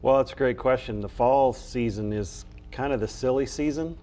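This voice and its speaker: A man's voice: mid-range voice, normal speed, mellow, soft background music. A man, a coach, answering questions casually as a guest in a studio interview.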